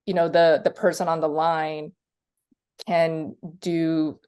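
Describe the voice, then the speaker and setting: A woman's voice: deep voice, little pitch movement, slow, darkish tone, clean tone, muffled recording. A woman hosting an interview, asking long conversational questions. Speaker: interview host.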